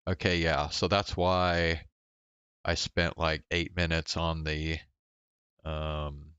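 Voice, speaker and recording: Male game streamer, low and unhurried, casual hesitant rambling, smooth resonant tone. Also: flat delivery, fairly bright tone, no echo, dull audio. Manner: rambling, hesitant, thinking aloud. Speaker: game livestreamer. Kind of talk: casual chat while playing a game.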